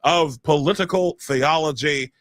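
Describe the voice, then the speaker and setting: A man's voice: on the high side, unhurried, fairly bright tone, dry recording. A man hosting a casual podcast, giving showman-style, hyped introductions and joking at his own expense. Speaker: podcast host.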